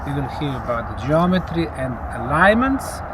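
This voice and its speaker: A middle-aged man presenting to camera on location, speaking in a measured, emphatic, announcing way. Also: fairly high pitch, very animated. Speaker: presenter and lead investigator. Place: open grassy hillside outdoors. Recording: outdoor recording with clear ambient noise.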